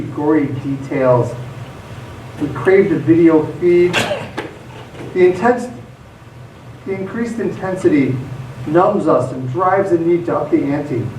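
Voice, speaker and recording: A man's voice: a little high, a little mellow. A man acting a reflective, wistful monologue in live radio theatre. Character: reflective, wistful man musing on a dark world. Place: theatre stage. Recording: echoey stage, distant mic, faint noise.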